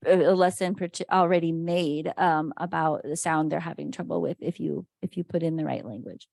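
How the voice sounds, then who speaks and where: A woman teacher with a somewhat low voice, recorded dry and close with no room sound. She explains a software tip helpfully and in detail to her colleagues. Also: fairly even intonation, moderate pace, vocal fry, no echo. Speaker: adult ESL teacher. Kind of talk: casual discussion among teachers.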